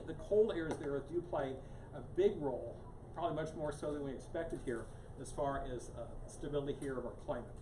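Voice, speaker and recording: A man lecturing a small room in a loose, rambling way, restarting sentences and leaning on the word "here." His voice sits fairly high, and it is picked up from a distance in an echoey room with steady background noise. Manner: casual, repeats "here" constantly, many restarts. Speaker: guest speaker on climate science. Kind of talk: live public lecture to a small audience. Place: meeting room with a live audience.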